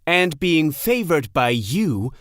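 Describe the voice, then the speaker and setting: A man's voice: on the high side, big pitch swings, slow, fairly bright tone, dry recording, clean studio sound, exaggerated voice. A man playing a sly, persuasive god of deception in an audio drama, with a theatrical delivery. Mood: eagerly persuasive.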